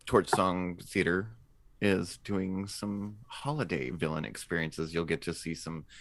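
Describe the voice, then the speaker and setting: Man, low voice, slow pace, dry close sound; relaxed chat with a ready giggle. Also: vocal fry, no room sound. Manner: giggly, chuckles while talking. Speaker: actor and podcast cast member. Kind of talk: casual live podcast chat.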